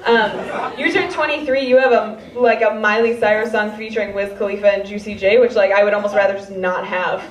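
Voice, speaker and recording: A woman's voice: mid-range voice, fairly even intonation, a little fast, hardly any pauses, a crowd in the background. A woman in her twenties with a Chicago accent doing a stand-up set, rambling and self-deprecating with many fillers. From Chicago, US Midwest. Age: 20s. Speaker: stand-up comedian. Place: stage in a hall. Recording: roomy, distant, dull-sounding live recording.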